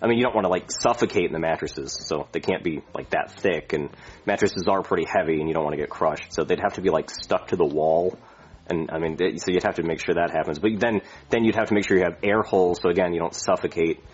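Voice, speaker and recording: A man's voice: low voice, quick pace, muffled recording, close to an everyday voice. A man voicing a reckless, crude male airline pilot who rambles and brags. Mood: boastful. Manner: rambling, boastful, swearing.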